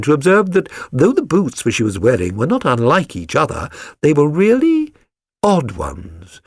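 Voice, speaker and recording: A man's voice: on the high side, breathy edge, no echo, studio quality, heavily acted. A man voicing a keen, self-assured detective for an audiobook, with a British accent and a precise, lecturing, condescending manner. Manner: precise, didactic, theatrical. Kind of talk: dramatised audiobook reading.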